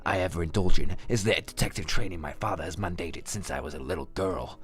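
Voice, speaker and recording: A man's voice: low voice, fast talker. A young man hosting a gameplay video, mixing jokey commentary with reading the story aloud.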